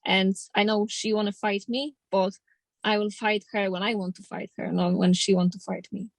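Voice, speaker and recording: A woman's voice: mid-range voice, little pitch movement, normal speed, gravelly, no echo. Polish-accented woman boxer, very dry sound. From Poland. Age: 30s. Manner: plainspoken, determined, non-native grammar. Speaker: professional boxer. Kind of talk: casual interview.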